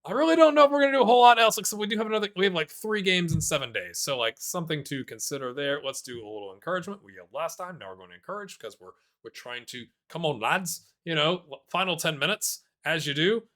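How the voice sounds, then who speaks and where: A man with a bright, forward voice talks through his football management game in a loose, chatty way. His pitch leaps around constantly, dropping into low mumbled asides and jumping up into excited shouts when something happens. He pokes fun at himself as he goes, and his voice sits a touch above average in pitch. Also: fast, hardly any pauses. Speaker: gaming YouTuber playing a football manager game. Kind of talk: casual live commentary over gameplay.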